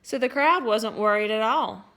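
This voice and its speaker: A woman teacher reading a picture book aloud to children, expressive and playful, breaking off for little asides to her listeners. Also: on the high side, normal speed. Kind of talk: storybook read-aloud for children.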